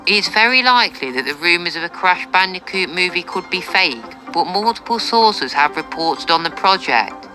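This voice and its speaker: A woman delivers scripted entertainment news narration in a bright voice. Her pitch is a touch low, and faint music plays behind her. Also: rough recording. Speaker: video narrator.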